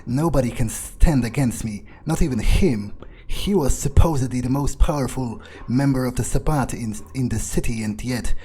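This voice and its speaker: A man's voice: medium pitch, moderate pace, hardly any pauses, dark tone, breathy edge, lightly acted. A man voicing an arrogant, power-hungry male vampire in a cold, self-assured manner, roleplaying over a video game. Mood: boastful. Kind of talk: in-character roleplay over gameplay. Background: game music.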